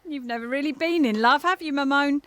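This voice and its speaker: High-pitched female voice, temperamental singer, bawdy, world-weary, blunt dramatic delivery, audio drama. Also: fairly quick, sharp tone, dry recording. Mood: hungover, bawdy.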